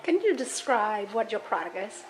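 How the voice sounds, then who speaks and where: A woman's voice: medium pitch, big pitch swings, normal speed, a little hiss or hum, poor audio. A woman interviewing a guest in a casual, friendly way, often murmuring agreement as he talks. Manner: friendly, frequent backchannel murmurs.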